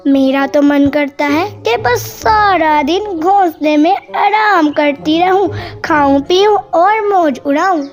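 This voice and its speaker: A woman as nagging cartoon wife bird, squeaky high, slow delivery, haughty and lazy, music behind. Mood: haughty, dismissive. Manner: nagging, dismissive. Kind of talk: Hindi cartoon voice acting.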